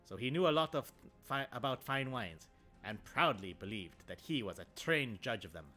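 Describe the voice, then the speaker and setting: A man doing a put-on Italian accent to voice a proud, vengeful nobleman, narrating with measured theatrical delivery. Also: medium pitch, lively intonation, pushed and bright, faint music behind. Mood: brooding. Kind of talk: dramatic reading aloud.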